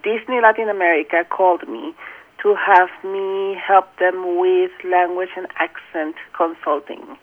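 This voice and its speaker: A middle-aged woman from Rico, speaking in an earnest, measured way with thoughtful pauses as a guest in an interview. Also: on the low side, steady tone. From Puerto Rico. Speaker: language and accent consultant. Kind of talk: phone interview. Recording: tinny phone line.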